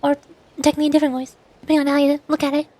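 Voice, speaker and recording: A woman's voice: high voice, flat delivery, very fast, mellow. A woman chatting casually on a livestream, rambling, repeating and correcting herself as she talks about voice acting. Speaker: streamer and amateur voice actor.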